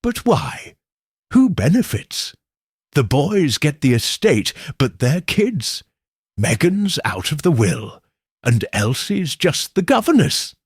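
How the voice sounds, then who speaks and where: A man's voice: fairly high pitch, very animated, takes it slowly, husky, no room sound, clean studio sound, voice pushed up, heavily acted. A man voicing a puzzled, insistent young woman questioning the facts in a mystery audiobook.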